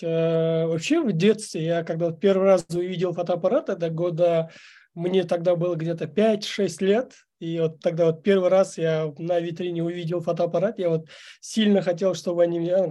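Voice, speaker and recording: A man from Kazakhstan, a videographer, telling a personal story hesitantly with pauses. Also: sits high, steady tone, mellow.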